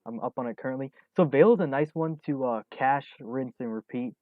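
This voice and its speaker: A man of about twenty talks loosely while reading chat questions on a trading stream, mid-pitched and relaxed, dropping in plenty of ums and little laughs. The sound is narrow and tinny, like a phone line. It is dry, with no room echo. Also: brisk. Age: 20s. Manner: relaxed, chuckles often, many fillers. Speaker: stock trading livestream host. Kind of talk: casual livestream chat reading viewer questions.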